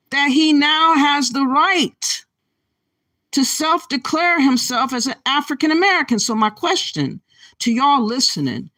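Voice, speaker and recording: A woman's voice: on the high side, a bit bright, no room sound. A woman hosting a reaction commentary, ranting indignantly and calling her viewers "y'all.". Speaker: commentary channel host.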